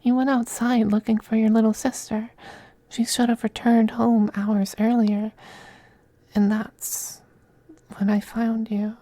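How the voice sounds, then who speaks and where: A man playing a possessive, teasing femboy vampire in an intimate ASMR roleplay, speaking softly and breathily, often in a whisper, with coaxing, condescending affection. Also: sits high, flat delivery, unhurried, no room sound. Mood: menacing, wistful. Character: possessive, teasing, doting femboy vampire master styled as mistress.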